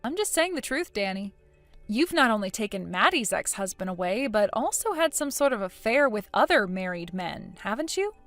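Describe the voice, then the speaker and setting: A woman's voice: medium pitch, sing-song, moderate pace, fairly bright tone, soft background music. A woman voicing a blunt, judgmental, scornful woman in story dialogue, smug and needling in a matter-of-fact way. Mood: accusing.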